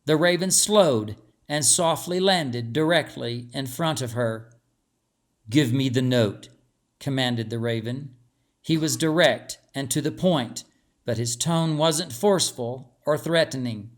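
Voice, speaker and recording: A man reading as an author and narrator, telling a story in an expressive scripted narration. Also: mid-range voice, unhurried.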